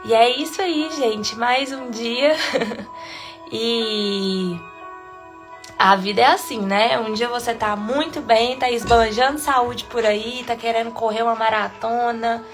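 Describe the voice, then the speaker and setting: A woman with a Brazilian accent and a congested cold leads a casual live devotional, chatty with soft laughs between phrases. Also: fairly high pitch, steady tone, takes it slowly, soft background music. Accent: Brazilian Portuguese. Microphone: phone or webcam livestream.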